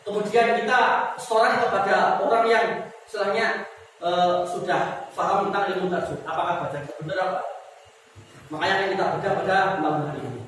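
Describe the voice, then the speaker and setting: A man's voice: high voice, fairly quick, fairly bright tone, a little hiss or hum. A male teacher giving a tajwid lesson in a mosque hall. He explains step by step, repeats key words and asks the class questions. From Indonesia. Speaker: Quran recitation teacher. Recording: roomy echo with long reverb, from far off.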